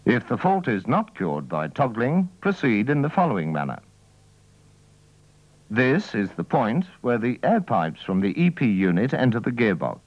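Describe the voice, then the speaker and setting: A man's voice: medium pitch, very animated, normal speed, dry recording. Man narrating a dull-sounding old training film. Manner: methodical, formal delivery. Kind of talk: scripted instructional narration.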